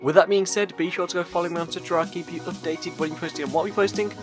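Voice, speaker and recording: A young man giving a casual, upbeat YouTuber voice-over for a software tutorial. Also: sits high, fairly even intonation, fast talker. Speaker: tutorial video host. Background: background music.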